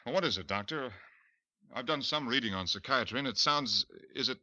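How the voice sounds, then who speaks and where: A man's voice: medium pitch, lively intonation, a little fast, pushed and bright, dry recording. An actor playing a frightened young man in a 1940s radio drama, anxious and stammering over his words. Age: young adult. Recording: dull lo-fi vintage radio recording.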